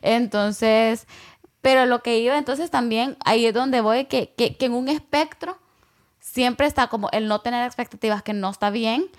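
Rambling young woman, slightly high voice. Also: moderate pace. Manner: reflective and rambling, many fillers, drops English words. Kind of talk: casual conversation.

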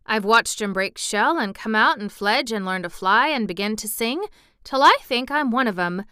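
A woman voicing a friendly, easygoing country boy in broad, unhurried Yorkshire dialect for a storybook. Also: fairly high pitch, lively intonation, pushed and bright, clean tone, no echo, clean studio sound. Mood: musing, good-humored.

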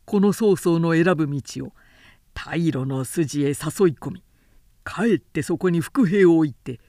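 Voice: medium pitch, measured pace, mellow, dry recording, studio quality, natural voice. A woman voicing a proud, cunning male warlord in an audiobook, with declamatory, archaic, commanding speech. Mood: confident, lecturing. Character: Cao Cao, proud cunning warlord, man, mocking.

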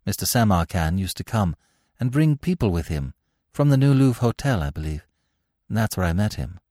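A man's voice: fairly low pitch, sing-song, moderate pace, warm tone, no room sound, clean studio sound. A man voicing a respectful former soldier who gives a reluctant confession in an audiobook. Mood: cooperative, respectful. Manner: hesitant, respectful. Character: deferential male ex-soldier confessing as a bribed witness.

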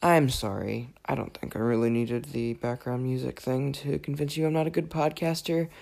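A podcaster with a raspy voice, under the weather, rambling through a self-deprecating, jokingly exasperated film rant. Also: medium pitch, steady tone, normal speed, a little mellow. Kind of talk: casual rambling film commentary.

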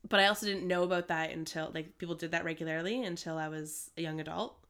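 A woman co-hosting a podcast, her voice stuffy and a bit rough from a cold. Under the congestion her tone stays smooth and clear. She rambles through casual chat with plenty of "like" and "um". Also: fairly low pitch, steady tone, quick pace, a bit bright. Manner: rambling, many fillers like "like" and "um". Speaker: podcast co-host.

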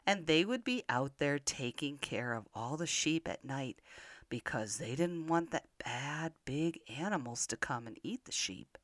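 An older woman telling Bible stories to young children in an expressive manner, often putting simple questions to the listener. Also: deep voice, a little slow, slight background noise. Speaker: children's podcast storyteller.

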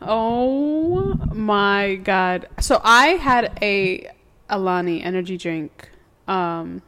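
A woman's voice: mid-range voice, lively intonation, extremely slow, fairly bright tone. A young woman co-hosting a podcast, telling a story in casual chat, laughing often and acting out what people said. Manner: laughs often, acts out quotes. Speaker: podcast co-host.

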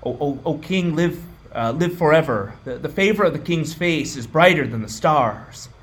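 Man as frightened male astrologer, radio play, stammering, obsequious, fawning, raised pitch. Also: animated, normal speed, fairly bright tone. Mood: terrified, fawning. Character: cowering, fawning male court astrologer, terrified flatterer. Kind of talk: amateur radio play acting.

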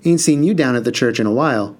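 A man's voice: medium pitch, animated, fast, close to an everyday voice. A man voicing a kindly middle-aged pastor who speaks in an earnest, preachy, rhythmic way in an audiobook.

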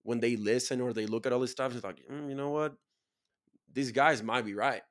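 A man's voice: medium pitch, steady tone, brisk. A man from Chile hosting a podcast, talking casually and motivationally in an earnest, emphatic, slangy way full of "bro" and "dude". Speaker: podcast host.

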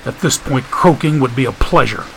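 A man's voice: medium pitch, normal speed, creaky, background noise, lightly acted. A man voicing an irritable, cynical, foul-mouthed man who speaks bluntly and snappishly. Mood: irritated.

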